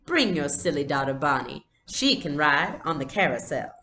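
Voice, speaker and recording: A woman's voice: deep voice, big pitch swings, moderate pace, pushed and bright, heavily acted. A woman voicing a playful girl who pretends to be a grand doll lady, drawing out her words in a storybook reading. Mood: playfully grand. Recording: echoey room, faint noise.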